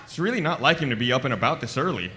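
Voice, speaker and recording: A man playing an anxious, deferential soldier who worries earnestly, in a comedy table read of an animated series. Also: mid-range voice, very fast, a little hiss or hum. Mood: puzzled.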